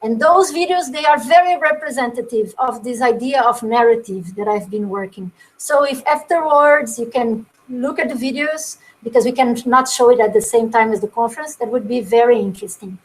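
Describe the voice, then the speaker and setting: A woman with a fairly high voice presents her own work in a webinar. She speaks in a reflective, halting way and often repeats a word before she finds the rest of the sentence. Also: low-quality recording. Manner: thoughtful, halting, repeats words while searching.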